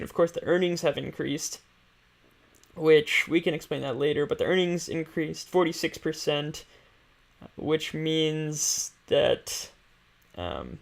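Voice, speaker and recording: A man with a somewhat high voice and a clearly creaky, fry-laden edge explains things off the cuff. He speaks informally, like a stream host walking viewers through material, hesitating as he thinks aloud. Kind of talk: casual unscripted explanation of company finances.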